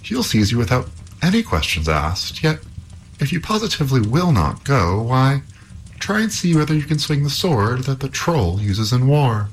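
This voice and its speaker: Man putting on an earnest high-pitched storybook princess. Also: big pitch swings, normal speed, slight background noise, natural voice. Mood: urgent warning. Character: young princess, female, earnest, warning, anxious.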